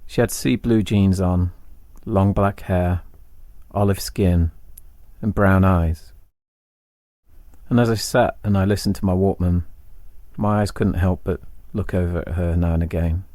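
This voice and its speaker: A middle-aged English man tells an autobiographical story in a reflective way, phrasing it deliberately in short lines. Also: deep voice, steady tone, warm tone, no echo, studio quality. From England. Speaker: podcast host and narrator. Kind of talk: autobiographical storytelling, scripted narration. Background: instrumental music.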